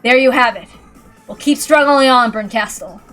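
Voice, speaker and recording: A woman's voice: a little high, normal speed, fairly bright tone, soft background music, lightly acted. A woman voicing a defiant, resolute hero with an emphatic, determined delivery.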